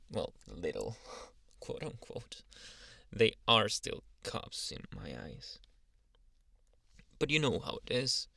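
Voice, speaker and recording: A man voicing a dominant but awkwardly polite alpha male werewolf in an intimate, halting ASMR roleplay, with sighs and murmured asides. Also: mid-range voice, fairly bright tone, no room sound, clean studio sound. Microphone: close, dry microphone.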